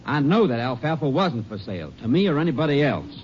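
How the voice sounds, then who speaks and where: An actor playing a defensive, stubborn rural feed buyer with a folksy, emphatic delivery in old radio drama. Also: male voice, mid-range voice, very animated, fast, soft background music, natural voice. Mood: indignant, insistent. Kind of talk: 1940s-50s radio drama acting. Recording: muffled, noisy old radio recording.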